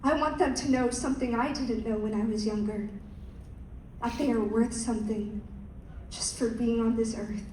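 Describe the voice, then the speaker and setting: A young woman, a pageant contestant, reciting a rehearsed speech in a slow, measured way. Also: on the high side, fairly even intonation, a little mellow, a little hiss or hum. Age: young adult. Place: large hall. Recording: echoey hall from far off.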